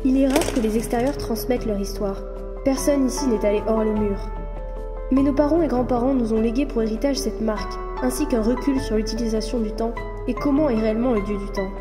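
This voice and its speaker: High-voiced breezy, cheeky woman played by an actress. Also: fairly quick, lightly acted. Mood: earnest. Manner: familiar, cheeky, playful chatter. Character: breezy, teasing female contact of an underground circle. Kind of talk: French audio drama acting. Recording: loud music under the voice.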